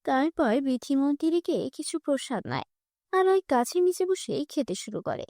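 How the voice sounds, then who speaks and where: Woman, high voice, scripted children's story narrator, fairy-tale style, dry studio-like sound. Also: very animated, moderate pace, darkish tone, no echo.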